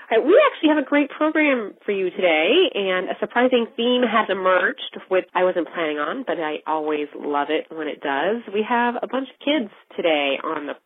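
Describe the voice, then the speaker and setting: Female podcast host, stuffy from a cold, chatty, lowish, dry lo-fi audio. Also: no echo. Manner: chatty, self-deprecating. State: has a cold. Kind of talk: casual podcast hosting. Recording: dry, lo-fi narrow sound.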